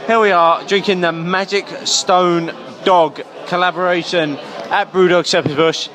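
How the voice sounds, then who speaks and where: A man's voice: high voice, fairly even intonation, measured pace, a bit bright. A drunk British man hosting a casual recording on location in a bar. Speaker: podcast host. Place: busy bar. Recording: clip in a noisy bar crowd.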